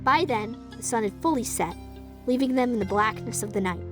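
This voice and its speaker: Higher-voiced woman narrating an audio drama. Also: a little fast, over music.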